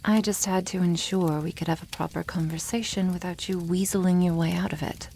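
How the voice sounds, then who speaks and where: A woman voicing a haughty, possessive female dragon in an ASMR roleplay, teasing and condescending, often dropping toward a breathy whisper. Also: fairly low pitch, steady tone, fairly quick, mellow, slight background noise, natural voice. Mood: calm, teasing.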